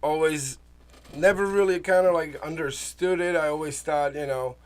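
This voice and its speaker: A man from the Czech Republic with a fairly high voice speaks as an interview guest. He rambles in a casual, personal way, stopping and starting, circling back over his own words as a native Czech speaker. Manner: rambling, halting, repeats himself, searches for words. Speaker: interview guest, small business owner.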